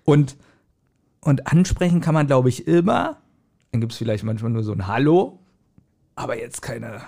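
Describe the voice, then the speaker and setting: A man's voice: medium pitch, animated, normal speed, vocal fry, mellow. A man, chatting casually as a podcast co-host in a colloquial, hesitant and reflective way. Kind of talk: casual chat.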